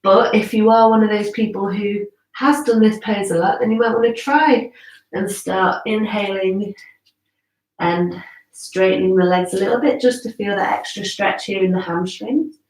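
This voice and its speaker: Female yoga instructor, slightly stuffy nose, measured guiding cues with casual asides, recorded in a roomy space away from the mic. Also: medium pitch, a little mellow. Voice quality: slightly congested, bunged-up nose. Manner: measured, pausing between cues, conversational asides. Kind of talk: live guided yoga class, instructional talk. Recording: roomy echo, distant from the mic.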